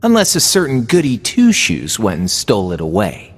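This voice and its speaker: A lazy, cocky rogue in a game cutscene with a husky voice and lively swings of pitch. He teases in a nonchalant drawl, heard in a long hall reverb. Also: moderate pace, full character voice. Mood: smug teasing. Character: cocky, lazy, teasing young male rogue. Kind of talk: video game cutscene acting.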